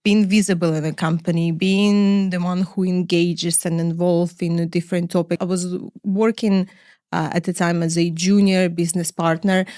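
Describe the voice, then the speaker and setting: A middle-aged woman from Ukraine, a finance executive answering interview questions in a measured way, often pausing to find words and listing points one by one. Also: fairly low pitch, little pitch movement, dark tone, no echo, studio quality.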